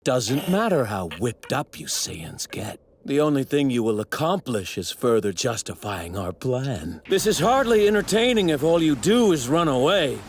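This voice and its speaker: An actor playing an arrogant, self-righteous god who scorns mortals, with pompous, formal diction in a theatrical anime dub. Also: male voice, mid-range voice, very animated, normal speed, hardly any pauses. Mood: righteous, scornful. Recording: noisy clip with overlapping sound effects. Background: anime sound effects.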